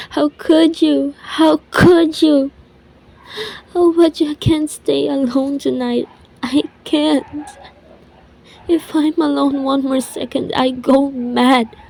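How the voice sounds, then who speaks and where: Scared needy sick wife, high voice, drags her words out, panicky radio thriller thing. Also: gravelly, mellow, heavily acted, poor audio. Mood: despairing, tearful. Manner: frantic, pleading, self-pitying, repeats herself. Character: panicked, querulous invalid wife alone at night.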